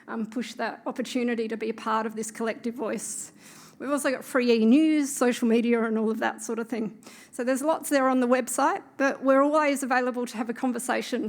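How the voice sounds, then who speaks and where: A woman wraps up a conference presentation in a fairly high, gravelly voice. She sounds encouraging and upbeat as she invites the audience to stay connected. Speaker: organisation representative presenting.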